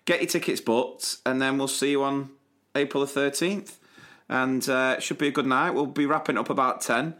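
Relaxed man plugging a show. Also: mid-range voice, moderate pace. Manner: relaxed, promotional plugs. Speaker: podcast co-host. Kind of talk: casual chat.

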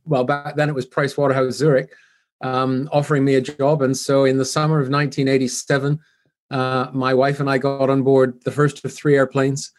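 An older man from Canada with a softened Canadian accent, speaking as a guest in a business interview in a measured way with frequent ums. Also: mid-range voice, level tone.

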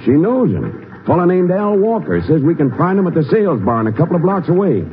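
Animated, folksy country sheriff. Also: male voice, fairly high pitch, fairly quick. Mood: eager. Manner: folksy, plainspoken Western talk. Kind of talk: 1950s radio western acting. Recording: tinny, noisy old radio recording.

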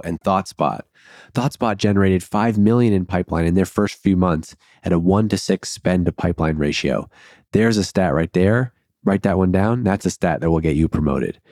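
A man's voice: on the low side, a little mellow, no echo, studio quality. A man hosting a podcast, reading an ad and chatting with loose, jokey asides. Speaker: podcast host. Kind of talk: casual podcast chat and ad read.

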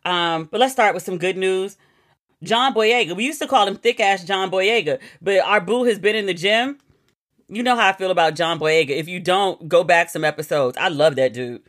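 A woman's voice: lowish voice, normal speed. A woman hosting a podcast by herself, chatting casually and gushing playfully as she talks. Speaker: podcast host. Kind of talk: casual solo podcast chat.

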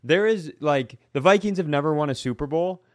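A man's voice: fairly high pitch, a little fast, dry recording, studio quality. An American man co-hosting a podcast, chatting casually and comically as he argues hypotheticals step by step. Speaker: podcast co-host. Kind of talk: casual comedic chat.

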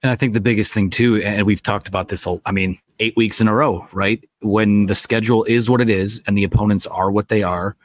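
A man with a low voice hosts a live sports talk show from a stadium press box. He is losing his voice to a cold and rambles casually, leaning on fillers. The sound is dry and close. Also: steady tone, fairly quick, hardly any pauses, dry recording. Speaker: podcast host. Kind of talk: post-game sports talk.

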